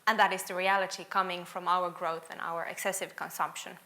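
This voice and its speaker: A woman's voice: on the low side, level tone, fairly quick, bright, forceful tone. A young woman from Finland speaking at a panel event, earnest, often repeating the first word of a sentence. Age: young adult. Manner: earnest, doubles words when starting sentences. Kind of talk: panel talk. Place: event hall with audience.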